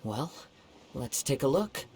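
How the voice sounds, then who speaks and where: A man playing a calm, thoughtful young wandering samurai in a fantasy game, speaking in a measured, formal and reflective way. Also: medium pitch, slight background noise. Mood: calm, curious. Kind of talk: scripted video game dialogue. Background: game music.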